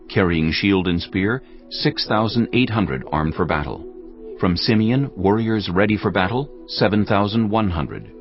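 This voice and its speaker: A man narrating scripture from a script in a measured, solemn manner. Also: lowish voice, highs cut off. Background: background music.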